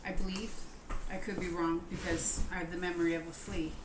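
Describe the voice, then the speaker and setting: An American woman in her forties chatting casually as co-host, talking haltingly with fillers and poking fun at herself. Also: on the low side, little pitch movement. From United States. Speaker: co-host of a live stream. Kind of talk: casual chat. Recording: echoey room with noise behind.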